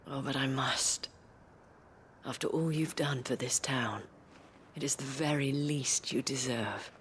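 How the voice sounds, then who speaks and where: An actress playing a gracious, worldly former courtesan in a video game cutscene, speaking softly and eloquently in a measured, genteel way. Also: female voice, very deep voice, slight background noise. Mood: gently grateful. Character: gracious worldly former courtesan, madame leading a fantasy town. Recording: music under the voice.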